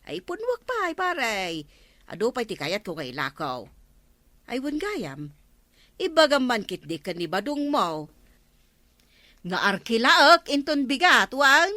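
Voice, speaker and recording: A woman's voice: fairly high pitch, wildly swooping pitch, unhurried, pauses often, pushed and bright, no room sound, exaggerated voice. A woman playing a haughty, money-grubbing village councilor in an Ilocano comedy radio drama, bossy and exclamatory. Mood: brisk, exclamatory.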